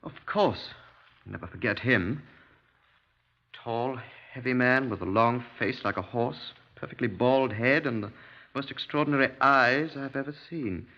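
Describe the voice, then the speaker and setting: An actor playing an earnest, agitated gentleman witness in a 1950s radio drama, hesitant, wordy and apologetic. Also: medium pitch, measured pace. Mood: vivid, intense. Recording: dull 1950s radio recording.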